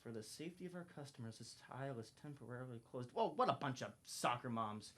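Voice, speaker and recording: A man's voice: mid-range voice, lively intonation, quick pace, fairly bright tone, lightly acted. A young man playing a fussy, wry slacker in a radio comedy, wordy and mock-polite. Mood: fussy, dramatic. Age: young adult. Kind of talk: student radio comedy acting.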